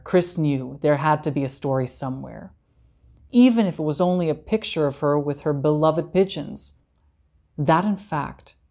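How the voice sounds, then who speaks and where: A young adult man reading a mystery story aloud with steady, expressive storytelling, recorded on a lapel mic in a living room. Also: a little high. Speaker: reader. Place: living room by a brick fireplace.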